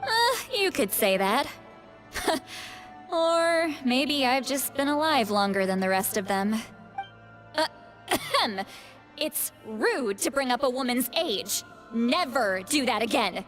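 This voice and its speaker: A woman's voice: on the high side, animated, takes it slowly, fairly bright tone. An actress voicing a proud, long-lived female shaman teacher in a video game, dry, self-assured and teasing. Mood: mock scolding. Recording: hall reverb over music.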